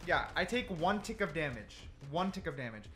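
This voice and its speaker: A man's voice: fairly high pitch, sing-song, fairly quick, fairly bright tone, soft background music. A man giving casual gameplay commentary, explaining things and repeating points for emphasis. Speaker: gaming video creator.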